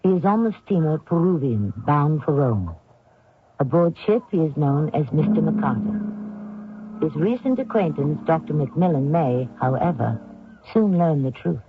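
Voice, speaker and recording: A man hosting a radio drama, giving scripted narration in a deliberate, theatrical manner. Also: fairly high pitch, animated, noisy background. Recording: tinny old radio broadcast. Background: music.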